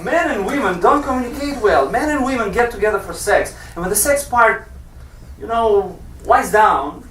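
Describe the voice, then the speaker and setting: A man's voice: sits high, animated, moderate pace, fairly bright tone, a little hiss or hum. A man playing a cynical, resigned husband bickering with his wife in a stage scene. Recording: roomy, distant mic.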